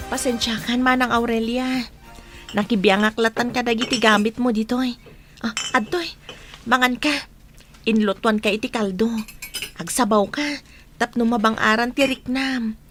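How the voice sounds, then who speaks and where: A woman acting a kind, caring younger woman in a Philippine radio drama, with a gentle, coaxing way of talking. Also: on the high side, steady tone, normal speed, pauses often, faint music behind, natural voice.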